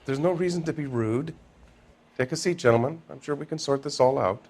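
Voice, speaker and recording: An actor playing a pompous, evasive middle-aged factory owner who blusters and dodges questions, with music behind him. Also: male voice, fairly high pitch, fairly quick, darkish tone. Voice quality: blustering, defensive. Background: music score.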